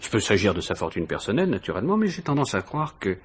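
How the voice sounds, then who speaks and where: Husky, insinuating police inspector, lively intonation. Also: male voice, fairly low pitch, fast talker. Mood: measured, accusing. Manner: feigned casualness, hesitations, insinuating questions. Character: probing, ironic male police inspector, calmly insistent. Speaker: radio drama actor. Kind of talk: 1950s French radio mystery acting.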